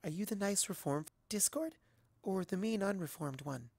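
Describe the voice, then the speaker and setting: A man voicing a timid, gentle young female cartoon pony, speaking quietly and hesitantly. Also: sits high, expressive, moderate pace, vocal fry, breathy edge, no echo, pitched above the performer's own voice, full character voice. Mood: unsure. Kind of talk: cartoon fan fiction character reading.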